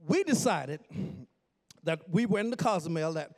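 Man, 40s, from the Bahamas, pastor, high voice, storytelling sermon, jokey, bursts of shouting, in a church. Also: normal speed. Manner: animated, jokes with congregation, bursts into shouts. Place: church sanctuary.

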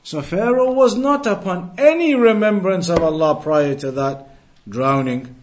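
A man with a high voice teaches a religious lesson. He reads from a text and explains it deliberately, going back over phrases to stress them. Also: a little mellow, slight background noise. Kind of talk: Islamic lesson, reading Arabic text and explaining it in English.

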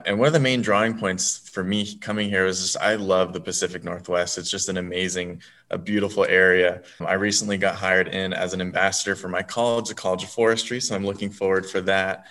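A young man from Southern California, a student guide, answering questions in a relaxed, easygoing way. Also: low-pitched, fairly quick, hardly any pauses. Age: young adult. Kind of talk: casual interview answers.

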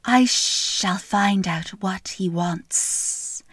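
A woman voicing a small, wise and sly snake in the woods, speaking slowly with a hushed, cryptic, drawn-out manner. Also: medium pitch, slightly rough, no echo, exaggerated voice.

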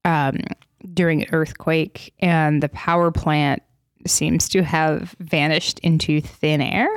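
A woman's voice: deep voice, fairly even intonation, slow pace, smooth, dry recording. A woman in her thirties co-hosting a podcast, a comedian with a dry, wry manner in casual chat. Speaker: podcast co-host, stand-up comedian.

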